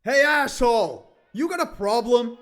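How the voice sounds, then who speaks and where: A man playing a sardonic teenage high-school boy in an audio drama, sarcastic, slangy and foul-mouthed. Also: expressive, unhurried, fairly bright tone, soft background music, exaggerated voice. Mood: confrontational.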